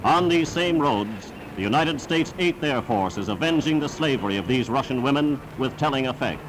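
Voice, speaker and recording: A man's voice: medium pitch, lively intonation, normal speed, background noise. A man narrating a scripted wartime newsreel in an emphatic, declamatory announcer's manner, on a vintage 1940s soundtrack.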